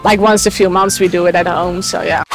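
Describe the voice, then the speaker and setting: A woman's voice: on the low side, steady tone, fairly quick. A woman giving casual answers in an outdoor street interview. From Netherlands. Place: open city square outdoors. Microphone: handheld furry interview mic. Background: music.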